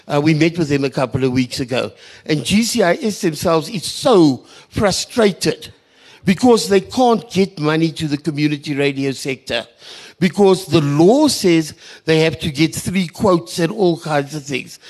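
Emphatic man with a high-pitched voice. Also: animated, unhurried, a little mellow. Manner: emphatic, repeats phrases for effect. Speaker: forum participant from a community radio station. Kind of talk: impromptu public discussion.

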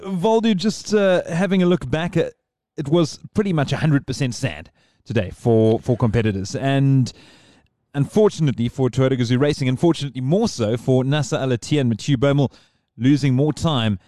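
A man's voice: medium pitch, a little fast, dark tone, no echo, studio quality. A man hosting a podcast in casual chat, rambling and digressing as he talks. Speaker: podcast host.

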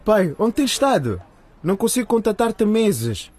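An anxious teenage son with a husky, breathy edge to his voice. His voice sits fairly high and leaps around as his questions turn to pleading, in a radio play. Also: sing-song, normal speed, a little mellow, heavily acted. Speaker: radio drama actor. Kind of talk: radio drama acting.

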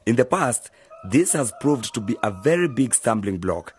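A man playing a sensible, patient older brother figure who gives measured, explanatory advice in a radio drama, with a husky voice. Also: mid-range voice, very animated, a little mellow, faint music behind, close to an everyday voice. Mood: serious, explanatory.

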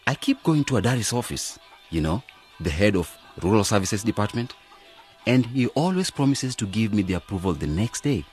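An actor playing an earnest, optimistic young graduate who leads a village cooperative in a radio drama. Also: male voice, lowish voice, big pitch swings, normal speed, a little mellow, soft background music. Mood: frustrated. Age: young adult.

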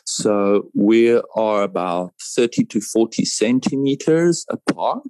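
A man with a fairly low voice speaks at a very unhurried pace. He explains things step by step in a reassuring way, often repeating phrases for emphasis. The sound is dry, with no room echo. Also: animated, a little mellow. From South Africa. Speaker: paragliding pilot, interview guest. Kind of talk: conversational interview answers.

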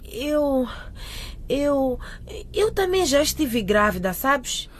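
A woman playing a calm, supportive best friend in a radio drama, soothing and a little hesitant. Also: high-pitched, slow pace, a little hiss or hum, full character voice.

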